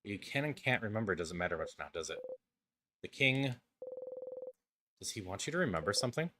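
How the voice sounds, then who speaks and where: Low-voiced man streaming a game. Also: a little fast, noisy background. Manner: relaxed, thoughtful, drifts into soft narration. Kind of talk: casual gameplay chat and dramatic narration. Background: background music.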